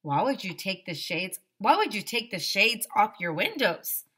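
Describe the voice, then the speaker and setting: A woman's voice: mid-range voice, big pitch swings, measured pace, fairly bright tone, husky, full character voice. A woman voicing a cocky, silly young schoolboy who tells his own story in a blunt, teasing way. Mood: puzzled.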